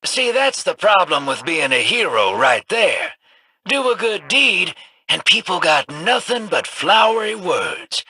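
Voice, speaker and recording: A man's voice: high voice, sing-song, a little slow, pushed and bright, slightly rough, no room sound, full character voice. An actor playing a brash, cynical male rogue scavenger in a video game, with a sarcastic, swaggering drawl. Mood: sly.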